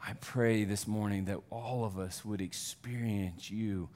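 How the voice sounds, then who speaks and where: A pastor preaching a Sunday sermon in a church, conversational and self-deprecating, building to earnest fervor. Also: male voice, lowish voice, fairly even intonation, measured pace, a little mellow.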